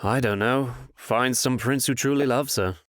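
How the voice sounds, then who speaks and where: A man's voice: mid-range voice, normal speed, no room sound, studio quality, lightly acted. A man playing a jaded, worldly womanizing fairy-tale prince with a frank manner, in a comic dub. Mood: rueful, resigned.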